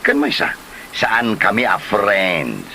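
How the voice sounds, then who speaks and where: A man's voice: low-pitched, sing-song, takes it slowly, pushed and bright, heavily acted. A man playing a mocking, argumentative husband in a comedy drama, dismissive and exclamatory, with an Ilocano accent. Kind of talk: colloquial comedy drama acting. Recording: clear hissy background noise.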